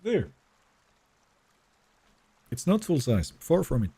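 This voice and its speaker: Lively, husky-voiced man streaming a game. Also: on the high side, slow. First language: Finnish. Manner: casual, chatty, answers viewers' messages. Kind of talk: live gameplay stream, reading chat aloud.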